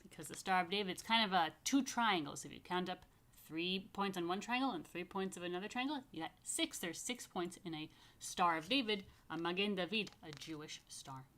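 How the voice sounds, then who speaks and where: A woman rabbi reading a picture book aloud to children, expressively stretching key words and adding chatty asides. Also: lowish voice. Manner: expressive, dramatic emphasis and drawn-out words. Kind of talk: animated storytime read-aloud with chatty asides.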